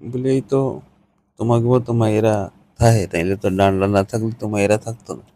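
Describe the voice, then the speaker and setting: Mellow-voiced man chatting on the phone. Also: on the low side, fairly even intonation, moderate pace. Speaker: caller in a staged phone conversation. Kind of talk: casual flirtatious phone chat.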